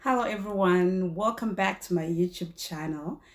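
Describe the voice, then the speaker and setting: A woman in her thirties, a little hoarse from a cold, telling a true-crime story to camera in a small indoor room, chatty with many fillers and restarts. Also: on the low side, normal speed.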